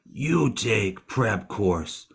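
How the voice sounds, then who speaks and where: A man playing a hungry, picky zombie in a comedic audio play, speaking slow broken English in a rough, gravelly moan. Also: lowish voice, very animated, exaggerated voice. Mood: hungry, dismissive. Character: hungry, picky, dim-talking male zombie.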